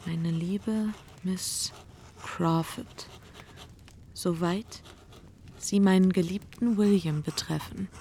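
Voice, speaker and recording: Shy, distressed young gentlewoman. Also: fairly low pitch, fairly even intonation, a little hiss or hum. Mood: halting, formal. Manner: formal, halting, pleading. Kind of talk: German radio play acting.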